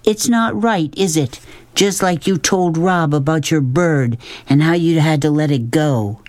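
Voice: medium pitch, measured pace, dry recording, pitched above the performer's own voice, close to an everyday voice. A narrator voicing a proud, righteous young girl in a children's audiobook, insistent, clipped and accusing. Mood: demanding.

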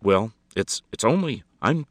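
A man playing a meek, melancholy character in a story, with a sad voice and a halting, apologetic manner. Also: on the low side, animated, dry recording, studio quality, voice pushed up. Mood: resigned, forlorn. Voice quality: sad-sounding. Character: meek, melancholy, hesitant man, politely pathetic.

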